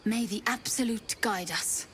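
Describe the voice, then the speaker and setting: Fantasy cultist: fervent, guarded female recruit, higher-pitched, slow reverent delivery. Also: fairly bright tone, a little hiss or hum. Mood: mournful, devout. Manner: reverent, intense. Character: fervent, wary female cult recruit in mourning. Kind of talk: dramatic fantasy game dialogue.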